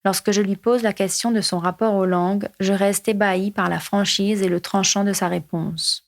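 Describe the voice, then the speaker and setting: A woman from Mauritius, hosting a podcast in measured, reflective scripted narration. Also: mid-range voice, fairly even intonation, vocal fry. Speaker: podcast host.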